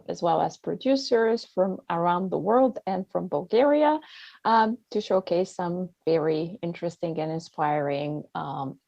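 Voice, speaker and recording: A woman hosting an online event, introducing things in a halting, hesitant way with many pauses. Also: lowish voice, vocal fry, a little mellow, no echo. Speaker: host of a festival talk. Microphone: video call. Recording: dull, band-limited online call sound.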